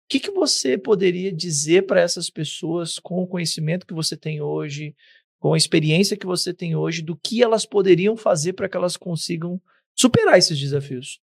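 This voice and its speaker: A man's voice: sits high, normal speed, vocal fry, no room sound, clean studio sound. A man hosting a business podcast, giving short nods and asking long, careful questions. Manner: attentive, short affirmations, long framed questions. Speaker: interview host. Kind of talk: podcast interview.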